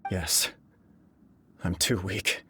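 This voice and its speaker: An actor playing a dutiful, remorseful young samurai noble in a video game, speaking formally and solemnly. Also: male voice, deep voice, level tone, very slow, bright, forceful tone, slightly rough, full character voice. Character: earnest, remorseful young male samurai heir, dutiful, resolute.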